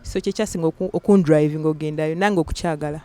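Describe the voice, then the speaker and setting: A woman's voice: low-pitched, fast talker, a little hiss or hum. A young Ugandan woman singer, chatting in an interview and acting out the people she quotes. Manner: animated storyteller, acts out quotes. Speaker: singer guest. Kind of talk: casual interview, mixing Luganda and English.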